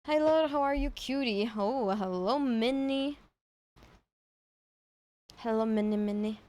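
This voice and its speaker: A woman streamer with a fairly high voice talks very slowly. She chats casually with her viewers. She thinks aloud, hesitating and repeating words as she goes. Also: a little mellow. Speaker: streamer reacting to horror videos. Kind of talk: casual livestream chat.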